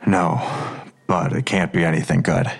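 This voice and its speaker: An actor playing a guarded, middle-aged police detective and worried father in an audio drama, gravelly and terse. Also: deep voice, fairly even intonation, moderate pace. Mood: guarded, uneasy. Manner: terse, cagey.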